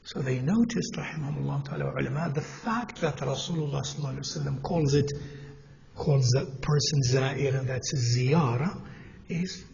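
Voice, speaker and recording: A man teaching a congregation in a mosque, speaking in a measured, halting way with pauses and self-corrections, and putting questions to his listeners. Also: mid-range voice, gravelly, darkish tone, highs cut off. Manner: asks the audience questions as he teaches. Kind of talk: Islamic lecture to a congregation. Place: mosque hall.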